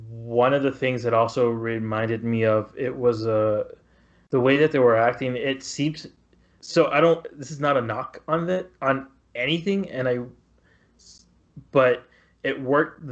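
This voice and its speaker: A man with an average-pitched voice chats casually as a podcast co-host. He speaks haltingly and circles back over his phrases. The sound is somewhat dull. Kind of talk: casual film review chat.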